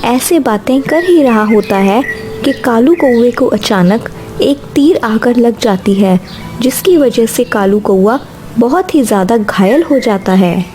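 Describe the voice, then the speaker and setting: Female storyteller, mellow and slightly high, scripted children's narration over faint music. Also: expressive, a little slow.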